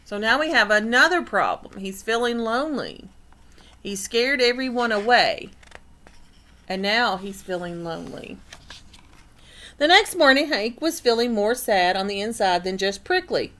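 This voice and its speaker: Bright-voiced woman reading a picture book. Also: on the high side, animated, unhurried. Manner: explanatory, asks listeners questions. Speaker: teacher reading aloud to children. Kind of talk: picture-book read-aloud with explanations. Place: indoor room.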